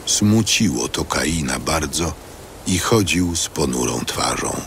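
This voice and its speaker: Deep, slow male scripture narrator. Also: animated, vocal fry, slight background noise. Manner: solemn, measured storytelling. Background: loud music.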